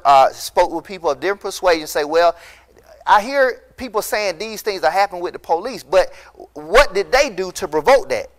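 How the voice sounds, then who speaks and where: Impassioned male pastor, higher voice. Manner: impassioned, emphatic, rising to loud peaks. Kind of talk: recorded teaching sermon. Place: large empty church hall, indoors. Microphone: lapel mic.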